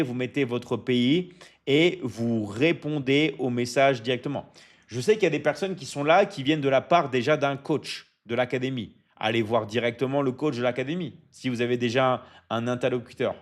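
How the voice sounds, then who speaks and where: A man's voice: medium pitch, moderate pace. Hyping coach man on live stream. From France. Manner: repetitive, hyping, filler-heavy, keeps checking in with viewers. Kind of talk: casual live stream talk to viewers.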